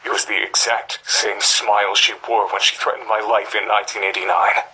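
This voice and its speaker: A man's voice: on the low side, level tone, a little fast, bright, forceful tone, heavily acted, poor audio. An actor playing a haunted, fearful middle-aged journalist and father, recording a grave dramatic monologue on cassette tape. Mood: shaken dread. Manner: grave, brooding, breathy half-whispers. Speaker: voice actor in a game's audio tapes.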